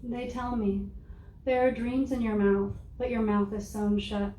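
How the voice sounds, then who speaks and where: A soft-spoken woman reading her own poems aloud in a measured, deliberate way to a live audience. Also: fairly high pitch, steady tone. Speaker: poet reading her work. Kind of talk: poetry reading. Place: room with an audience. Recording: roomy, distant mic with faint noise.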